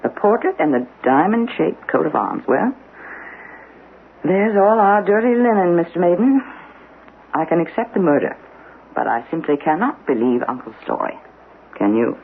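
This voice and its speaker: A woman's voice: fairly low pitch, sing-song, moderate pace, gravelly, pauses often. An actress playing a sceptical, anxious niece of an old man, formal and emotive in a radio drama. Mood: doubting, concerned. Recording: narrow, noisy old radio broadcast.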